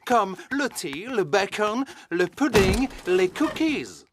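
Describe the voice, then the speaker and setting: A woman's voice: mid-range voice, big pitch swings, slow pace, background noise. A woman voicing a friendly parent animal in a French cartoon dub.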